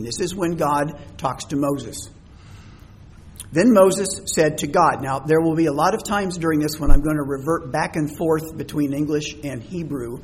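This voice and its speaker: A man with a slightly high voice gives a sermon and talks it through in a conversational way. He pauses, backs up and repeats words as he goes, and his voice is already tiring. Faint noise sits behind him. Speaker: pastor.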